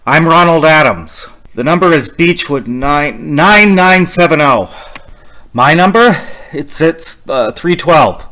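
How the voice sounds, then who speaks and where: An actor playing a frightened, haunted man traveling alone in a suspense radio play, speaking intensely and haltingly. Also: fairly high pitch, animated, pushed and bright, heavily acted. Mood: anxious. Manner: intense, halting, repeating words. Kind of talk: suspense radio play acting, dramatic narration.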